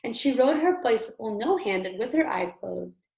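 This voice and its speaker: A woman's voice: a little high, expressive, normal speed, vocal fry, darkish tone. A woman kindergarten teacher reading a storybook aloud expressively to young children, with emphasis and explanatory asides. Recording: echoey room.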